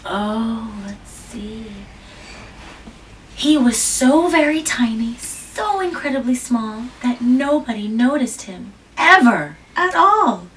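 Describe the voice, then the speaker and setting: A mother reading a picture book aloud to her child at home, gently stopping to ask questions and jumping to a squeaky high pitch on small words. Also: expressive, slow pace. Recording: distant mic with faint room noise.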